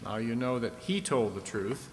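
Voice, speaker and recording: A man's voice: medium pitch, big pitch swings, moderate pace. Man acting as vintage radio quiz host, echoey hall. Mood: genial, teasing. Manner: explanatory, prompting with questions. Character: genial, chatty male host of a vintage audience-stunt show. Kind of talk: old-time radio show acting. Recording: echoey hall with background noise.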